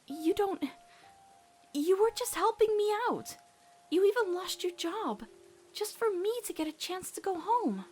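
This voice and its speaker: A woman voicing an earnest, self-doubting teenage girl with halting delivery in a dramatic comic dub. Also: very high voice, animated, darkish tone, faint music behind, pitched above the performer's own voice. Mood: urgent, reassuring. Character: guilt-ridden, earnest, stubborn teenage human girl.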